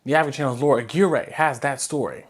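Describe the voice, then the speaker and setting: An American news anchor delivers a scripted bulletin. The voice sits at a medium-high pitch between typical male and female ranges, reading headlines and short stories in a broadcast narration style. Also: fast talker, a little hiss or hum.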